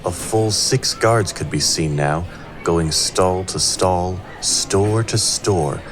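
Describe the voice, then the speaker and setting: A man's voice: low-pitched, slow pace. A man narrating a fantasy audio drama as a grave storyteller. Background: clear music bed.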